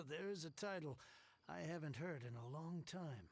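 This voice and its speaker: Grouchy old book collector, gravelly, gruff, ranting, game character. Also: male voice, fairly high pitch, animated, normal speed. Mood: grumbling. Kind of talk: game voice acting.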